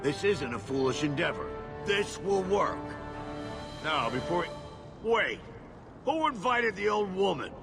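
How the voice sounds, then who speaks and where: An actor playing an impatient, crude and bombastic dwarf expedition leader in a video game, blustering and barking orders. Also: male voice, sits high, very animated, measured pace, creaky, long pauses, exaggerated voice. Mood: brisk, impatient. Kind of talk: video game cutscene acting. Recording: loud music behind dialogue. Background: loud music.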